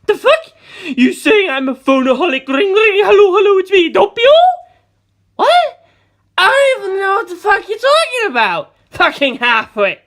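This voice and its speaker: A woman voicing a volatile, manic young male gangster who shrieks and curses in a strained, very high voice. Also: sing-song, normal speed, a bit bright, exaggerated voice. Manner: shrieking, unhinged, cursing.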